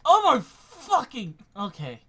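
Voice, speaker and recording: A man's voice: very high-pitched, huge pitch swings, takes it slowly, pushed and bright. A man chatting casually while playing a video game, swearing and repeating himself in a distracted way. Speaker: player on a video game stream. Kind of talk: casual chat while gaming.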